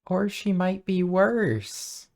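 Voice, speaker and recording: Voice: medium pitch, very animated, drawn-out words, darkish tone. A streamer giving casual, off-the-cuff commentary while playing a video game.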